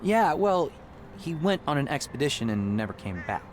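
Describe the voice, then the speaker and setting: A man's voice: fairly high pitch, very animated, moderate pace, slight background noise. An actor playing an eager, proud young male engineer and rocket inventor in a video game, with a wry, casual manner. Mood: reflective, subdued. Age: young adult. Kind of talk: video game character dialogue.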